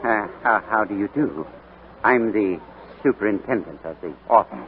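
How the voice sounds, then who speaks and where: Actor as halting superintendent, crackly old radio. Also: male voice, lowish voice, expressive, natural voice. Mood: awkward, kindly. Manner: halting, hesitant. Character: awkward, grumbling but kindly orphanage superintendent. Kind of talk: 1940s radio acting. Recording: noisy, tinny 1940s radio recording.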